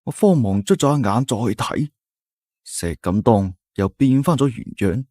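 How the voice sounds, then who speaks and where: A man with a Cantonese accent narrating a folk tale in the first person, telling it in a scripted, dramatic and expressive way. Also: medium pitch, normal speed, no room sound, studio quality. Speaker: storyteller.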